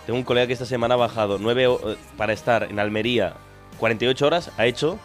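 A young man from Argentina co-hosts a casual podcast. He talks quickly, rambling from one joke to the next with quick asides. Faint music sits behind him. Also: mid-range voice. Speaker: podcast co-host. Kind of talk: casual banter.

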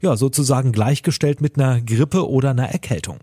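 A man's voice: medium pitch, a little fast, dark tone, slightly rough, no room sound, clean studio sound. A man, a radio news editor, explaining the news in an informal way. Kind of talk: explanatory news talk with the presenter.

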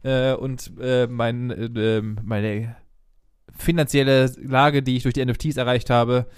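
A man in his thirties telling stories in a loose, rambling way, stopping for fillers and laughing often. His voice sits at an ordinary pitch and sounds dry and close, with no room around it. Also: flat delivery, unhurried, smooth, no room sound. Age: 30s. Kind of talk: casual chat.

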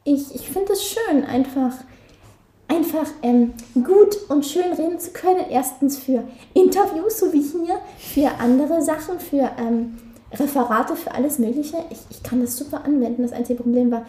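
A woman's voice: moderate pace, warm tone, reverberant. A young woman from Austria with a light Carinthian-tinged German accent, chatting as an interview guest, laughing often and restarting her sentences. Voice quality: high voice. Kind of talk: casual interview chat.

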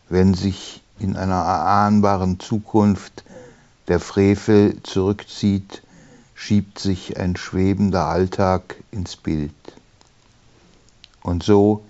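Very low, slow-speaking man reading poetry. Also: darkish tone. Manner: measured, pausing between short phrases. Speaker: poet reading own work. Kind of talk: poetry reading of own long poem. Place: small room, writing study. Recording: dull, muffled sound.

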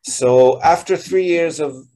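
A man's voice: on the high side, dark tone, rough recording. A man hosting and advising on property investment, talking through loans in an explanatory way with frequent ums and restarts. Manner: explanatory, fills pauses with um and uh, restarts phrases. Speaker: real estate advisor and podcast host. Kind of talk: business call recording, casual advisory.

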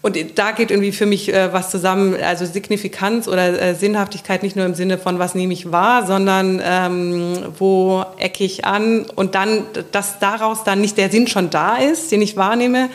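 A woman's voice: medium pitch, fairly even intonation, normal speed, few pauses. A woman in a reflective intellectual discussion, thinking aloud and often correcting herself as she searches for the right wording.

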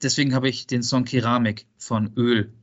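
A man co-hosting a casual football podcast and acting as quizmaster in relaxed conversation. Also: medium pitch, moderate pace, dull audio, noisy background.

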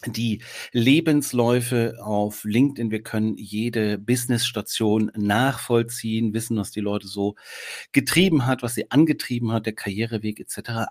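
A man's voice: mid-range voice, darkish tone. A man hosting a podcast in casual chat, talking loosely with many filler sounds. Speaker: podcast host.

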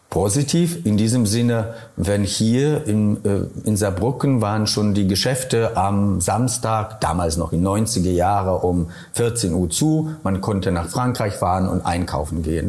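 A middle-aged man from Silesia with a Polish accent, answering interview questions thoughtfully and pausing often to find his words. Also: lowish voice, lively intonation, dark tone. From Poland, Silesia. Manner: thoughtful, searching for words, many hesitations.

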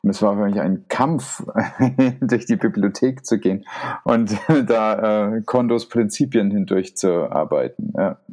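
Podcast host: man, lower voice, thoughtful, curious interviewer. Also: unhurried, warm tone. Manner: thoughtful, asks follow-up questions. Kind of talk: casual interview.

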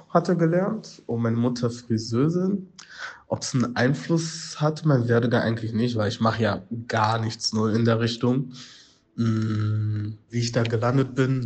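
Relaxed man, mid-pitched voice. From Germany. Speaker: video creator. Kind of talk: casual interview answer.

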